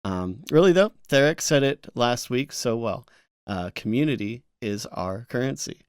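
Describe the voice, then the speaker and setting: Clear-voiced male podcast co-host. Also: mid-range voice, lively intonation, normal speed, no echo, clean studio sound. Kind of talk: casual podcast chat.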